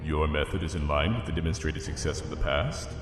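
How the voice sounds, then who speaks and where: A man's voice: very deep voice, a little fast. A man playing a haughty, sinister monarch with an imperious, disdainful manner and cavernous reverb, in a sci-fi drama. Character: haughty, sinister deep-voiced royal ruler. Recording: distant, echoing, loud music behind.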